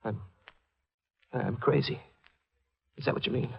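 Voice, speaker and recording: A man's voice: medium pitch, a little slow, gravelly, slightly rough, full character voice. An actor playing a paranoid, fatalistic newlywed man in a 1940s radio melodrama, agitated and brooding. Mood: bitter, fatalistic. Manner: agitated, brooding, repeating words. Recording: dull vintage 1940s radio recording. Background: music.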